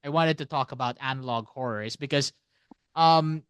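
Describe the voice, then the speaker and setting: A man co-hosting a casual podcast, explaining things haltingly and often circling back to repeat phrases. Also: medium pitch, a bit bright, clean tone, dry recording. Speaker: podcast co-host.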